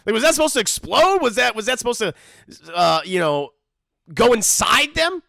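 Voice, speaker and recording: A man's voice: extremely high pitch, very animated, normal speed, creaky, bright, forceful tone, dry recording, clean studio sound. A man casually joking through a cartoon episode commentary, often mock-voicing the characters. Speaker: commentary host.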